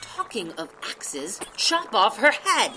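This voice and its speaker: A woman's voice: mid-range voice, huge pitch swings, slow pace, background noise. A woman voicing a brusque, bossy, short-tempered Duchess in an audiobook. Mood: curt, scornful, then snapping, violent. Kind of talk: audiobook character acting.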